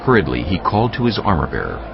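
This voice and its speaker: Male narrator, very low creaky voice, solemn animated scripture storytelling, music underneath, slightly dull sound. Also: fast talker.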